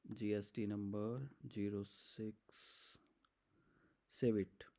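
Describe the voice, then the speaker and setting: An Indian man presenting a step-by-step software demo in an explanatory, instructional manner, mixing in English terms. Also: fairly low pitch, little pitch movement, very slow, vocal fry.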